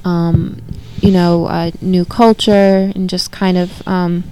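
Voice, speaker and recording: A young woman hosting a faith talk, speaking softly and hesitantly with fillers. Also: lowish voice, little pitch movement, takes it slowly, smooth, low-quality recording. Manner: soft-spoken, hesitant with fillers. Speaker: host, daughter of guest.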